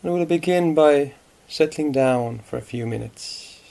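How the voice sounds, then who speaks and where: A man guiding a meditation in a calm, unhurried manner, leaving long pauses between phrases. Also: on the high side, creaky. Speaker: meditation guide. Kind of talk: guided meditation, unscripted instruction.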